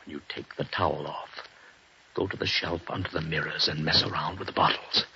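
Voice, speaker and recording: An actor playing a tense man giving hushed urgent orders in a 1940s radio drama. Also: a bass voice, fairly even intonation, a little fast, gravelly, heavily acted. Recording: dull old radio recording with faint hiss.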